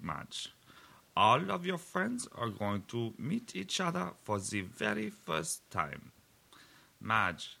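A man playing a calm hypnotist doctor in a dark comedy sketch, coaxing slowly and formally. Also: mid-range voice, gravelly, breathy edge, exaggerated voice. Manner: slow, soothing, formal coaxing.

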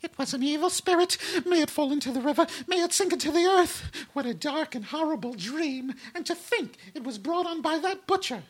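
A woman's voice: high-pitched, lively intonation, a little fast, hardly any pauses. A woman playing a superstitious character fervently warding off an evil dream, dramatic and incantatory. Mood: fearful incantation.

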